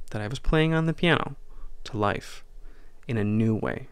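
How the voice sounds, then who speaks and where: A man delivering a reflective, scripted podcast monologue as host, in a measured, earnest manner with deliberate pauses. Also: on the low side, smooth, no echo. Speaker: podcast host and audio drama writer.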